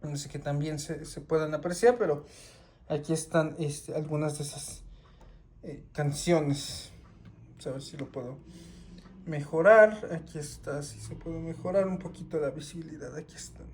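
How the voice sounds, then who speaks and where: Man, record collector, casual show-and-tell, unhurried, halting. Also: on the high side, a little hiss or hum. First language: Spanish. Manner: unhurried, with many fillers and restarts.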